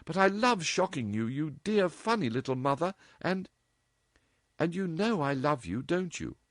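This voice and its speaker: A man puts on a mischievous young woman's voice, pitched well above his own and bouncing with cheek. She is impudent and playful, delivered in a dry, close recording. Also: big pitch swings, unhurried, dry recording.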